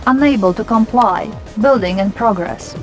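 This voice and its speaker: Woman voicing game command announcer lady, detached short alerts, higher voice, music behind. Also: moderate pace, lightly acted. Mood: impassive. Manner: clipped, detached. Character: female military command announcer, crisp, impassive.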